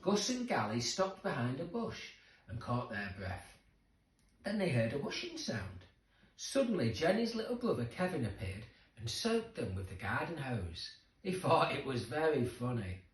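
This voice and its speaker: Animated male storyteller in an echoey room. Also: medium pitch, moderate pace. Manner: expressive, dramatic emphasis for young listeners. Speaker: storybook reader. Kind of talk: children's story narration. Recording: roomy echo, distant microphone.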